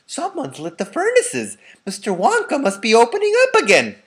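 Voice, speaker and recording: Shrill, astonished townspeople from a children's storybook. The voice leaps far up high and swoops about, loud, strained and excitable, as if calling across a street. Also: male voice, normal speed, exaggerated voice. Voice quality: strained, very high-pitched shouting. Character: crowd of astonished, shouting townspeople.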